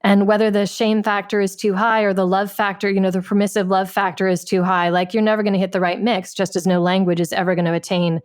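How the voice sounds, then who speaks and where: A woman with a medium-pitched voice talks reflectively. She feels her way through sentences, stopping to repeat and reshape phrases as she goes. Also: flat delivery, smooth. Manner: halting, searching for words, repeats phrases. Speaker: member of a play's creative team. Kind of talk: reflective discussion.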